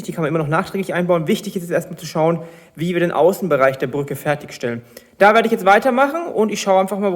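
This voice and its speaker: A man with a slight cold, chatting casually while he explains his building steps in a hobby vlog. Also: sits high. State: has a cold. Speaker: LEGO builder and channel host.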